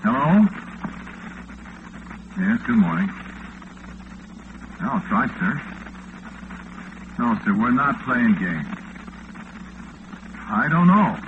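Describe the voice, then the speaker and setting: An actor playing a bewildered, harried late-night disc jockey in a flustered, exasperated 1940s radio drama style. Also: male voice, medium pitch, exaggerated voice. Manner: flustered, exasperated, muttering to himself. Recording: noisy lo-fi old radio recording.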